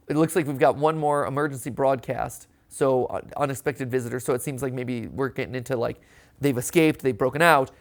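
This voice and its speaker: A man hosting a reaction show, theorizing casually in an animated, conversational way and often checking in with a tag question. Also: medium pitch. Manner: animated, conversational, often ends points with "right?".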